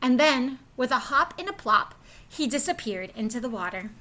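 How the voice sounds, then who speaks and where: Female storyteller for young kids, high-pitched and bright, expressive read-aloud delivery. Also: normal speed. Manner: expressive, explains to young listeners. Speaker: storybook reader, school staff.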